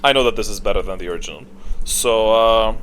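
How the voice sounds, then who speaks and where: A man's voice: fairly low pitch, fast talker, fairly bright tone, clear, resonant tone, poor audio. A man giving casual, off-the-cuff gaming commentary as a video creator, blunt and opinionated in slangy wording. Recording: noticeable background noise.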